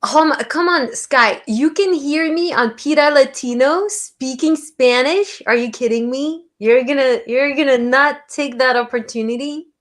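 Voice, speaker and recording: A woman hosting a casual livestream chat. She is animated and laughs often. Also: high voice, unhurried, few pauses. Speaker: livestream host.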